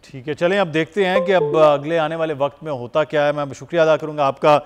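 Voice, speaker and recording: In a long, hall-like reverb, a Pakistani man presents a news talk show. His voice is somewhat high and his delivery formal and wordy, with hesitant phrasing. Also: fairly even intonation, quick pace, background noise.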